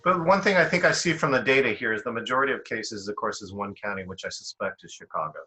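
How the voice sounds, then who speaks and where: A man's voice: fairly low pitch, fast, pushed and bright, breathy edge, rough recording. A man leading a casual group discussion.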